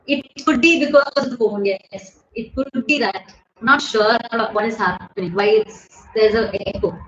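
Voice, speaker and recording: Loud, high-voiced Indian woman in an echoey room. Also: normal speed. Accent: Indian English. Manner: chatty, laughs often, thanks viewers by name. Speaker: livestream host. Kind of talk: casual live Q&A. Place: bedroom at home. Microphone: phone camera at desk distance. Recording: echoey room, dull phone audio, faint hiss.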